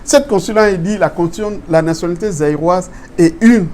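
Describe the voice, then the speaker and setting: A man's voice: sits high, fairly quick, darkish tone, a little hiss or hum. A man from Congo explaining constitutional law in an interview, mixing French and Lingala and asking rhetorical questions. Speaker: political guest.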